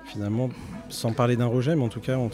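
A man interviewing poets, asking long, measured, winding questions. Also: mid-range voice, steady tone, mellow, faint music behind, some chatter behind. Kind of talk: radio-style interview questions.

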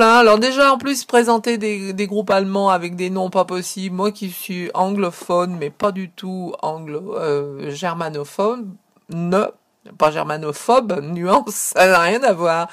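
A woman from France hosting a local radio music show, talking casually and pausing often with fillers and restarts. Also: medium pitch. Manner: hesitant, many fillers and restarts. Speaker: radio host.

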